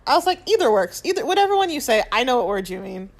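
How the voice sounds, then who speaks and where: A young woman co-hosting a podcast, telling stories in a casual, animated way and laughing often. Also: on the high side, fast talker. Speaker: podcast co-host. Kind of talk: casual chat.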